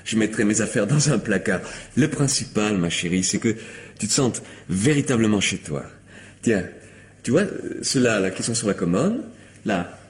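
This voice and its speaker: Guy acting a scheming lover in a radio mystery, low voice, really swoopy intonation, coaxing then bossy. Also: animated, normal speed, lightly acted. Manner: coaxing and insistent, slipping into commands. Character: smooth, manipulative male lover greedy for valuables.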